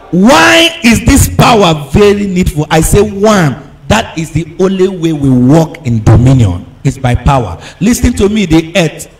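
A man preaching a charismatic sermon, emphatic and exhorting, repeating phrases, firing questions at his congregation and at times breaking into shouts. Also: on the high side. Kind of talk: charismatic sermon with call and response.

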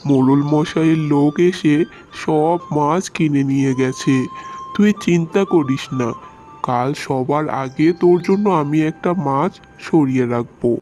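A man voicing a gentle, hardworking village fishmonger and doting father in a cartoon, speaking in a soft-hearted, reassuring way. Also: fairly high pitch, a little slow, soft background music, lightly acted. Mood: apologetic, reassuring. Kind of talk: Bengali moral-tale cartoon dub.

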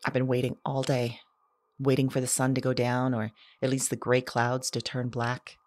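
A woman playing a lonely, haunted character who keeps an audio journal in a drama, with a halting, intimate, confessional delivery. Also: a bass voice, monotone, no echo. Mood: anxious, hopeful. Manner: halting, hesitant, hushed reflective delivery.

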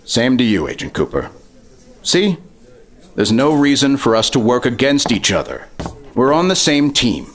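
An actor playing a sly, self-important government official in a retro 1950s radio drama, smooth-talking and insinuating. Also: male voice, mid-range voice, very animated, normal speed, pauses often, lightly acted. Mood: smug.

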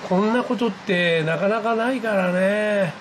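A man with a high-pitched voice narrates his hobby video in a casual, excitable way. He exclaims and talks half to himself. It is recorded on a handheld camera at home, with some noise behind him. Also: measured pace. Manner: exclamatory, talking to himself and the screen. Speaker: amateur radio hobbyist narrating own video. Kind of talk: excited casual running commentary to viewers. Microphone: handheld camera mic, pointed at computer screen.

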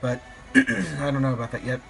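A slightly raspy young man, a bit sick, commentating his online game battle in a rambling, hesitant way with many ums. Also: medium pitch, very animated, moderate pace, fairly bright tone, poor audio. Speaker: gaming YouTuber. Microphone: phone filming a screen. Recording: music playing behind.

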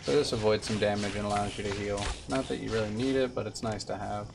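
A man's voice: lowish voice, fairly even intonation, fast, vocal fry, recorded from a distance, background noise. A man in his twenties giving laid-back, musing casual commentary as a video game streamer. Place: indoor room, streaming setup with webcam. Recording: off-mic, loud game sound behind. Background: loud video game audio.

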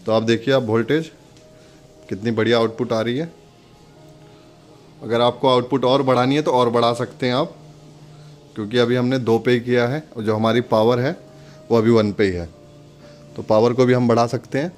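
A man's voice: medium pitch, fairly even intonation, normal speed, pauses often, soft background music. An Indian man giving a casual step-by-step product demonstration, instructing viewers in a friendly, direct address.

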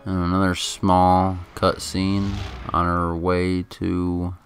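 Laid-back gamer guy, really low creaky voice, talks haltingly over faint game music. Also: completely flat delivery. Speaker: video game player and commentator. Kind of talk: casual game commentary.

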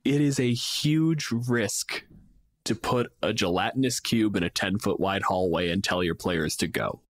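A man's voice: fairly low pitch, a little slow, no echo. Man in casual podcast chat, dry close sound. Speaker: podcast co-host. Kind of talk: casual chat about tabletop game monsters.

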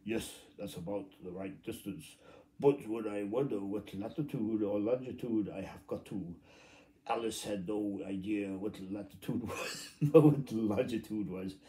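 Mellow-voiced man reading haltingly aloud. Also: on the low side, rough recording. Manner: halting, stumbling reading with muttered asides. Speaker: amateur storybook reader. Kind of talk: informal read-aloud of a children's classic. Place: small room at home, indoors.

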